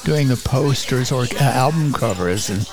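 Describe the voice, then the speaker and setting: An older man, a guest photographer in a casual interview, talking enthusiastically and rambling with a voice that is getting raspy. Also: mid-range voice, lively intonation, normal speed. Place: small bar.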